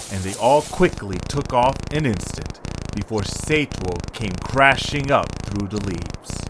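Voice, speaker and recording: Animated male storyteller, faint noise behind. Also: on the low side. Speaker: narrator. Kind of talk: scripted story narration for an audio drama. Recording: background noise, ambience.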